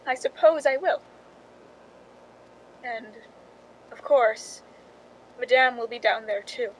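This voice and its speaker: Radio-drama stand-in actress, guilt-ridden and confessional, high-pitched, played by a woman, with faint background noise. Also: rough recording. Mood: bleak, resigned. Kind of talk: 1940s radio drama acting.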